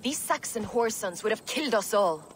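Lady doing a proud bitter viking captain in a game, middle pitch, straight talking, faint background noise. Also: expressive, brisk, natural voice. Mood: resolute. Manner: forthright, earnest. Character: proud, embittered female Viking warrior captain. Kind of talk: video game cutscene acting.

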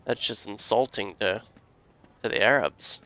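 A young man giving casual, excitable commentary while playing a video game, joking and swearing freely. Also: fairly low pitch, unhurried, dry recording. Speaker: let's play gamer.

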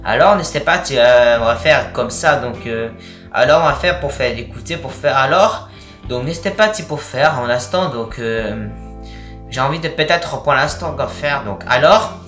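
A young man in his late teens speaking casually for a vlog, in a rambling, repetitive and halting way. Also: medium pitch, fairly bright tone, faint music behind. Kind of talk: casual vlog with acted-out conversations. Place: small attic bedroom, indoors. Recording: roomy, echoey webcam-style recording.

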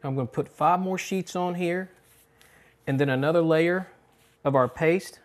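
A middle-aged man hosting a cooking show, talking through a recipe step by step in a relaxed, instructional way from a home kitchen. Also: on the high side, unhurried.

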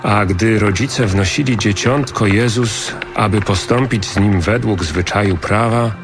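A man narrates scripture with a solemn, measured delivery. His voice sits fairly low, he takes his time, and music plays clearly behind him.

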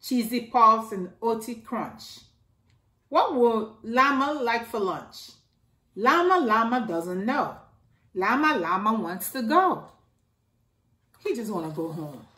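A middle-aged woman reading a rhyming picture book aloud to children in a slow sing-song way, filmed indoors at home by a camera a few feet away. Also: fairly high pitch, sing-song, pushed and bright. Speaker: storytime reader. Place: living room by a staircase, indoors. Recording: echoey room.

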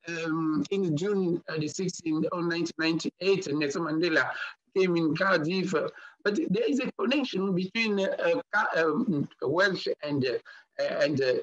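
A man with a fairly high voice gives a halting online talk, full of "uh" and restarts, and leans hard on his key points. The sound is dull and lo-fi, like a video call. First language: Sakata. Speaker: guest speaker. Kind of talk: online lecture with slides.

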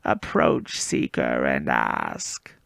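An ancient oracle spirit speaks slowly in a raspy, slithering rasp that seems to drift from a distance, as a male narrator puts it on. The voice rises high and falls low in eerie swoops. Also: huge pitch swings, a bit bright, no echo, studio quality, full character voice. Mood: ominous.

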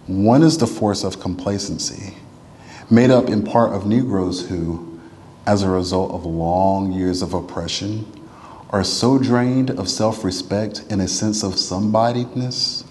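A man's voice: fairly low pitch, darkish tone, slight background noise. Man reading solemnly in a reverberant church. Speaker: reader at a church. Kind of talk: solemn reading aloud of a historic letter. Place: church sanctuary. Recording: long reverb of a large room.

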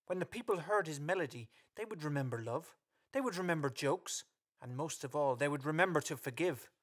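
A man's voice: a little high, expressive, brisk. A man reading scripted story narration for a radio play.

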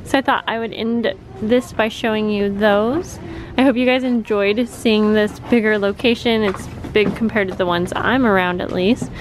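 A woman's voice: mid-range voice, steady tone, moderate pace, faint music behind. A woman vlogging casually as she shops, talking informally and in a friendly way to her viewers. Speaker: vlogger, host. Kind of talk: casual chatty shop-along and haul commentary. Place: large discount store, indoors. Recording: noisy store ambience.

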